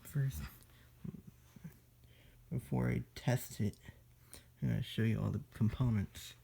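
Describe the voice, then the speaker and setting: Casual hobby demo presenter: unhurried and gravelly, delivering instructions in halting pieces. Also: mid-range voice, steady tone, warm tone. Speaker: demonstrator in a homemade electronics video. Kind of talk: casual hands-on product demo.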